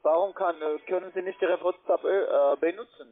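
A man with a foreign accent, a non-native speaker working as a call center agent, asking halting, insistent questions over the phone. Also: high-pitched, steady tone. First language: not German. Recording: tinny narrow phone line.

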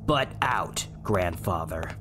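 A man's voice: low voice, lively intonation, slow pace, over music. A man voicing a resentful, defiant young echidna in a comic dub, curt and combative. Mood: curt, irritated.

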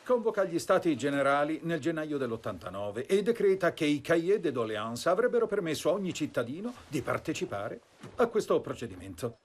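Fiery third-estate delegate, lively, raised voice, rhetorical questions. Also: male voice, a little high, fairly quick. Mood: earnest. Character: 18th-century delegate of the third estate, earnest. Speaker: period reenactor speaking to camera. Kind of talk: dubbed documentary monologue. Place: wood-panelled study with leaded windows.

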